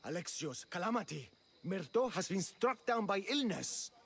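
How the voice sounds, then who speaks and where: An actor playing an earnest, worried villager in a video game, urgent and formal in manner. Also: male voice, high voice, very animated, moderate pace, vocal fry, fairly bright tone, husky, exaggerated voice. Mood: alarmed, grave. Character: earnest, worried villager bearing bad news. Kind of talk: video game cutscene acting.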